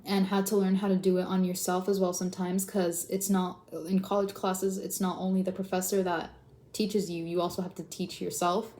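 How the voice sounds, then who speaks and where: A teenage woman, a high school student and native Spanish speaker, chatting casually as she records a vlog. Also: dark tone. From moved to the US from abroad. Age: teens, high school student. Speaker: student vlogger. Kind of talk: casual chat to camera. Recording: roomy echo, slightly dull sound.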